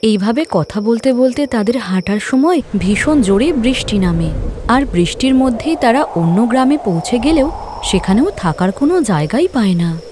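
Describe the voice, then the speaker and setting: A woman's voice: on the high side, animated, moderate pace, background noise. A woman giving scripted narration of a moral story as the storyteller.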